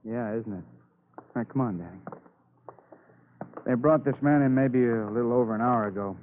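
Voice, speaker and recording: An actor playing a weary, needling police detective who rambles through rhetorical questions in a vintage radio crime drama. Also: male voice, medium pitch, lightly acted. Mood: weary, insistent. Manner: slow-building, repeats the listener's name, asks rhetorical questions. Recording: noisy, narrow-band old radio broadcast.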